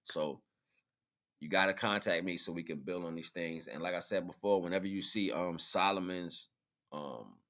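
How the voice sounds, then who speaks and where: A man with a deep voice hosts a casual livestream. He speaks informally and in slang, often ending his thoughts with a tag question to the listener. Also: moderate pace, fairly bright tone. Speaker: livestream host.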